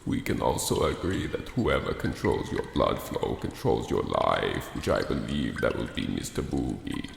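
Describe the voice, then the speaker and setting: A man's voice: very animated, normal speed, few pauses, a little hiss or hum, heavily acted. An actor playing a pompous cartoon statesman in a comedic radio play, speaking in a formal, long-winded, grave manner. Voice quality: very deep, gravelly. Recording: echoey room with long reverb.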